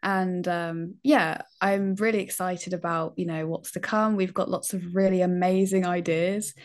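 A woman's voice: lowish voice, fairly even intonation, a little slow. A woman co-hosting a podcast, speaking in a friendly, upbeat and casual way. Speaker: podcast co-host. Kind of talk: casual chat.

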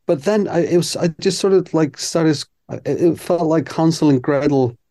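Mellow-voiced man, candid. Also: a little high, moderate pace. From Iceland. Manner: candid, reflective, thinks aloud. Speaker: composer guest. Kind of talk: casual interview chat.

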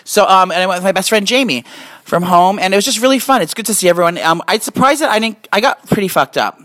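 A man's voice: sits high, lively intonation, quick pace, fairly bright tone. An American man in his late thirties chatting casually and telling stories in a rambling, slangy way as a podcast co-host. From New Jersey, USA. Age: late 30s. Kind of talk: casual chat, storytelling. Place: indoors at a home. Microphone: phone.

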